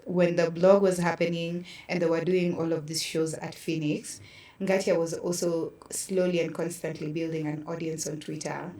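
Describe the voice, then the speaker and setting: A woman with a Kenyan accent telling stories casually as an interview guest, acting out remembered dialogue in an animated way. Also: low voice, moderate pace. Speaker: interview guest, theatre practitioner. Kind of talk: casual conversational storytelling. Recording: roomy echo, distant from mic.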